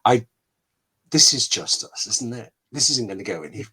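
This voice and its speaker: A man with a mid-pitched voice talks as the guest in an online interview. He is candid and self-deprecating about his craft, landing his points in short, emphatic phrases. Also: animated, moderate pace, bright, forceful tone, breathy edge. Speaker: scriptwriter, webinar guest. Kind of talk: conversational interview answers. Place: online webinar.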